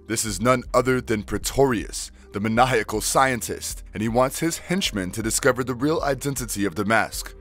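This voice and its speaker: A man's voice: lowish voice, a bit bright. A man reading a scripted recap as the narrator of a video.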